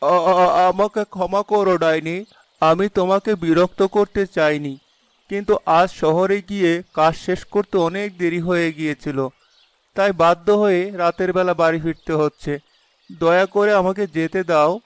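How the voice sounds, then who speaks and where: Guy acting as scared village traveller in a horror cartoon, bit high pitched, clean crisp voice, polite n pleading. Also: steady tone, normal speed, no room sound, close to an everyday voice. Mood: frightened pleading. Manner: pleading, worried. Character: frightened, polite young male village traveller.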